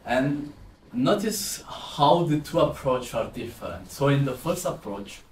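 A man's voice: mid-range voice, expressive, a little slow, darkish tone. A man in his twenties from southern Italy, with an Italian accent, presenting as a maths teacher explaining to a class. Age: 20s. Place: indoor classroom with whiteboard and screen. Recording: roomy echo, distant, some background noise.

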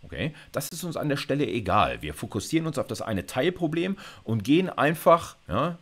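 A man's voice: mid-range voice, sing-song, a little fast. A man in his fifties giving an explanatory university video lecture in an informal, emphatic, conversational way, often checking in with short tag questions. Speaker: university computer science lecturer.

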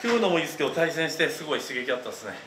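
A man's voice: on the high side, sing-song, racing. A man in his thirties, a pro wrestler, earnestly speaking in post-match comments. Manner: determined, earnest. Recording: echoey room, noisy, far from mic.

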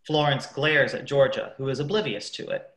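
A woman's voice: very deep voice, normal speed. A woman narrating a radio play, reading scripted stage directions. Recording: echoey room.

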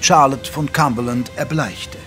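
An actor playing an observant gentleman companion who narrates a detective audio drama in a literary, measured style. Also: medium pitch, faint music behind. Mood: narrating.

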